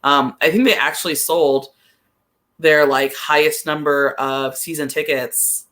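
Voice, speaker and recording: A podcast guest giving casual soccer commentary at a middling pitch. The delivery is conversational and a little hesitant, working thoughts out aloud with plenty of "like" along the way. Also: steady tone, unhurried, pushed and bright. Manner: hesitant, thinking aloud, frequent use of "like".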